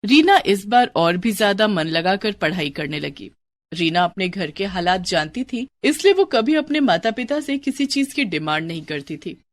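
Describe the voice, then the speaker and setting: A woman's voice: medium pitch, sing-song, normal speed, no echo. A woman storyteller reading scripted narration of a moral bedtime story.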